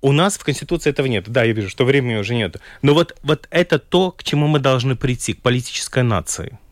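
A man's voice: mid-range voice, no room sound, studio quality. Man speaking Russian. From Latvia. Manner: halting, thoughtful, with chuckles and restarts. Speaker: orientalist guest. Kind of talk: radio talk show discussion.

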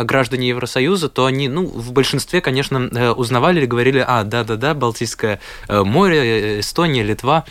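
An eighteen-year-old schoolboy from Latvia, answering interview questions with lots of hesitations. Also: male voice, mid-range voice, fairly bright tone, no room sound. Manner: hesitant, many filler sounds. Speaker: guest, schoolboy.

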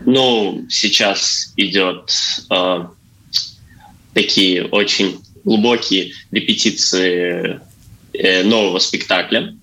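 A man in his thirties talks as a guest in an interview. His tone is clearly bright and focused, at a fairly ordinary, slightly low pitch. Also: takes it slowly, low-quality recording. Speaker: actor and stage designer, interview guest. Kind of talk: interview answers.

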